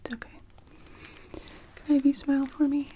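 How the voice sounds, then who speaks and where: A young woman whispering softly as a doctor in an ASMR medical exam roleplay, calmly giving step-by-step instructions. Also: high-pitched, brisk, slight background noise.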